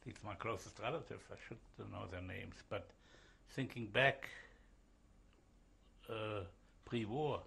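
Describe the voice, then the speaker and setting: A man in his seventies, born in Switzerland and raised in Germany, answering questions haltingly in an oral history interview. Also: on the low side, vocal fry, fairly bright tone, breathy edge. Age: 70s. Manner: halting, with pauses and fillers.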